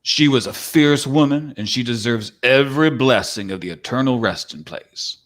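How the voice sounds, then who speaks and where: A man's voice: medium pitch, moderate pace, a bit bright, natural voice. A man playing a proud, folksy old-time cowboy spirit from a painting in a dramatic script reading, with boastful, rustic phrasing. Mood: proud, wistful.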